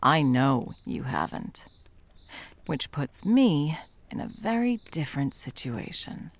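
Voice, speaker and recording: A woman playing a stern, condescending yet tender monster hunter in an ASMR roleplay, commanding and dropping often into breathy whispers. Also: low-pitched, big pitch swings, takes it slowly, full character voice. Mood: grave, conflicted. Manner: commanding, condescending, with pet names.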